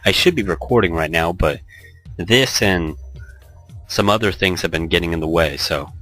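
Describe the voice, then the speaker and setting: A man's voice: sits low, moderate pace, pushed and bright, faint music behind. A man hosting a Let's Play, chatting casually and reading visual novel text aloud in a rambling, joking way.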